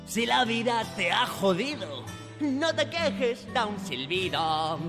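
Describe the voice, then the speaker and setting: A man's voice: high-pitched, big pitch swings, slow pace, over music, exaggerated voice. A man playing a cheeky, relentlessly cheerful consoler in a musical comedy, half speaking a song with an accent from Spain. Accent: Spanish, from Spain. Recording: musical accompaniment behind voice.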